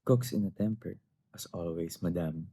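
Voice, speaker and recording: A man's voice: deep voice, moderate pace, dark tone, slightly rough, no room sound, clean studio sound. A man playing a patient, deferential butler in a stage play reading, polite and restrained.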